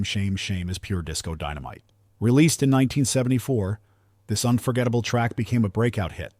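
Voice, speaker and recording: A man's voice: on the low side, a little mellow, no echo, studio quality. A man reading a scripted music countdown as narrator, with upbeat, promotional phrasing.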